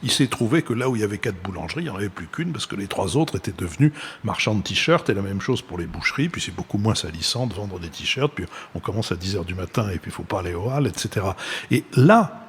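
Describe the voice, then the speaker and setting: A man moderates a panel in a fairly low voice over slight background ambience. He develops long, wandering questions and comments with a wry touch. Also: animated, quick pace, slight background noise. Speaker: host, moderator.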